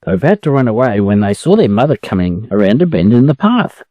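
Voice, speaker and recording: A man's voice: mid-range voice, sing-song, a little fast, no echo, muffled recording. An Australian man narrating a children's story for English learners as a storyteller.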